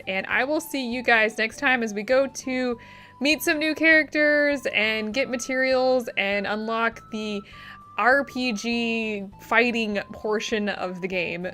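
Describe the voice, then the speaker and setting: A woman's voice: fairly high pitch, a bit bright. A woman hosting a gaming video, giving informal, rambling let's play commentary. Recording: music behind the voice. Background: game music.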